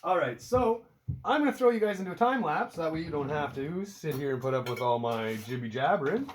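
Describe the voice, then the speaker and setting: A man's voice: fairly high pitch, animated, a little fast, slight background noise. A man from Canada with a stuffed-up cold, chatting casually and rambling to camera about his hobby, with offhand swearing and self-deprecating asides, recorded indoors at home. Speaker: outdoor hobby vlogger.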